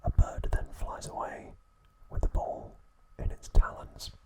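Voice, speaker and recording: Male ASMR host. Full breathy whisper throughout, very unhurried, gentle and deliberate delivery. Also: low-quality recording. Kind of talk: whispered ASMR narration and trivia.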